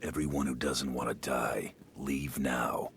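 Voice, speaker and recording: A menacing game bounty hunter, laconic and deadpan. The voice is extremely deep and full of gravelly creak. A faint rumble of noise runs behind the curt delivery. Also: male voice, a little slow, heavily acted. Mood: cold menace. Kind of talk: video game voice acting.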